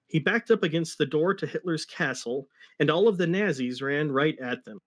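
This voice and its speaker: Male story reader, fairly high voice, comic dramatic narration broken by wry asides. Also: moderate pace. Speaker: reader of a fan fiction story.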